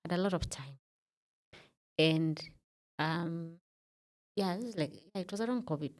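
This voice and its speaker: A woman's voice: on the low side, unhurried. A Kenyan woman around thirty, a podcast guest in a studio, telling her story in a reflective way with many fillers. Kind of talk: casual interview talk.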